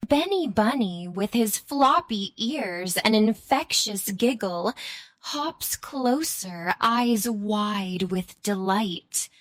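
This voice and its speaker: A woman's voice: mid-range voice, lively intonation, slow, a bit bright, dry recording. A woman reading scripted narration for a children's bedtime story.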